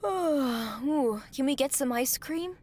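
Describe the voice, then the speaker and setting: High-pitched, slow-talking innocent child. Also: female voice, lively intonation, darkish tone. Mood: sleepy, eager. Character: young child, sleepy, eager and innocently puzzled. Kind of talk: audio drama acting.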